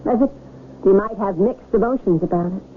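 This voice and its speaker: An actress playing a small-town mayor's adoring, teasing wife in an old-time radio drama, affectionate, prodding and expressive. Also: female voice, medium pitch, fairly quick, narrow, tinny audio, close to an everyday voice. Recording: band-limited, noisy radio drama recording.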